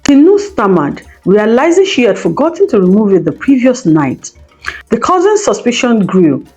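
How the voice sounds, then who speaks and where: A woman's voice: mid-range voice, warm tone. A woman narrating a scripted folktale as a storyteller, with music playing behind her. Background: background music.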